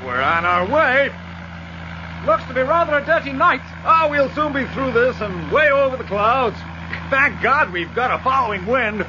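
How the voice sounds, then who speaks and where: A man's voice: extremely high pitch, lively intonation, moderate pace. A man playing a breezy, bold aeroplane pilot in a radio drama. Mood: cheerful. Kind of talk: BBC radio drama acting. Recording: dull, loud aeroplane engine noise.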